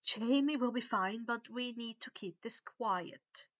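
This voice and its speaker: A woman's voice: on the high side, measured pace, a bit bright, highs cut off. A woman playing a frightened, guilt-stricken young boy wizard in a story, gasping and urgent.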